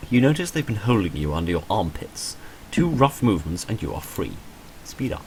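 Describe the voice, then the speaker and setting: A man's voice: on the low side, big pitch swings, fairly quick, a little mellow, a little hiss or hum. A man reading visual novel text aloud dramatically, with dry, understated wry commentary in between. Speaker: gamer recording a playthrough.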